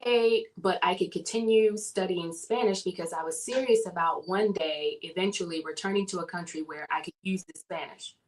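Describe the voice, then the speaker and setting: A woman from the southern United States, a guest in a casual interview, telling her story in an animated way and laughing often. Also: lowish voice, normal speed, hardly any pauses, poor audio.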